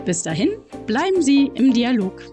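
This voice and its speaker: A woman's voice: fairly high pitch, big pitch swings, normal speed, over music. A woman co-hosting a podcast interview, moderating in a friendly, upbeat way. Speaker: podcast co-host.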